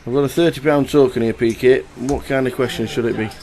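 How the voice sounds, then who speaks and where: A man's voice: medium pitch, steady tone, fast, a little mellow, soft background music. A man chatting casually as a live poker commentator, playful and repetitive. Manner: chatty, playful, repeats himself.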